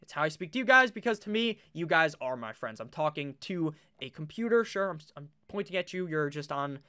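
Young male video host, high bright voice with a clear smooth tone and a slight nasal edge, loose and profane. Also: brisk. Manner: swears freely, chatty and animated. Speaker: fantasy football YouTuber. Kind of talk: casual webcam talk while drafting. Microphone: webcam setup.

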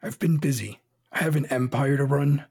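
A man acting a proud young male emperor in an audio drama, bitter with grief, curt and haughty in a husky voice. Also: medium pitch, fairly even intonation, moderate pace, a little mellow. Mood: bitter, defensive. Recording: music under the voice.

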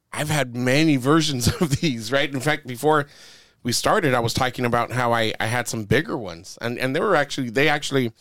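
A man's voice: medium pitch, a bit bright, no room sound, clean studio sound. Rambling man giving podcast advice. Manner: rambling, full of fillers and sports analogies. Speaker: podcast co-host.

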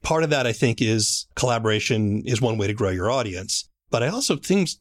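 A man with a medium-pitched voice hosts an interview. He talks conversationally, putting his questions and thoughts in a measured, thoughtful way. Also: animated. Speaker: podcast host, interviewer.

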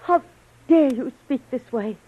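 A plucky jungle adventure heroine in an old radio serial. Her voice is high, her delivery earnest and dramatic. The sound is dull and low-fidelity. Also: measured pace, husky. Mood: indignant, nervous.

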